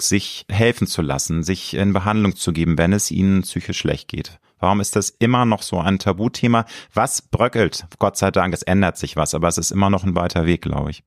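A man's voice: deep voice, no room sound, studio quality. A man from Hamburg in Germany hosting a conversational interview, chatty and familiar as he sets up long questions. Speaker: interviewer, host.

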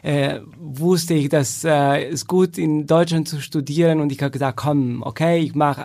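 A man from Italy speaking German with an Italian accent, a cellist talking about his path, pausing often with fillers. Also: a little high, steady tone, creaky, a little mellow. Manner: hesitant, many fillers. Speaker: cellist and festival founder. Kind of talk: casual podcast conversation.

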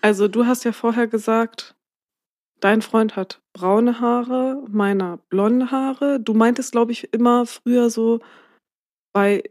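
A woman's voice: a little high, steady tone, slow pace, darkish tone, dry recording, studio quality. A young woman co-hosting a casual podcast chat, slightly nasal from a cold, hesitant and trailing off mid-sentence. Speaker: podcast co-host.

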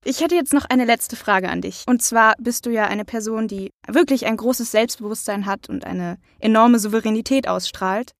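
A woman's voice: a little high, moderate pace, few pauses, no room sound, studio quality. A woman hosting an interview podcast, speaking in a polite, articulate and friendly presenting style.

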